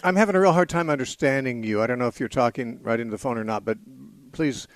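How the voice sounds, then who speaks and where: A middle-aged man hosting a call-in radio show on the Bible, thoughtful, restarting sentences, briskly moving callers along. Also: medium pitch, no room sound. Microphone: studio microphone.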